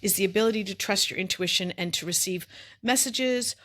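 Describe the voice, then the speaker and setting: A woman's voice: mid-range voice, brisk, a bit bright. A middle-aged woman co-hosting a podcast in casual chat, a little sniffly, explaining things in long chatty lists with asides. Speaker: podcast co-host.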